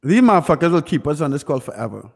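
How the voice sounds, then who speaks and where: A man's voice: fairly high pitch, sing-song, fast talker, creaky. A man from Trinidad hosting a casual livestream chat, joking and swearing freely. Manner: profane, joking banter. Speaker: YouTube host. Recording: reverberant, hall-like echo.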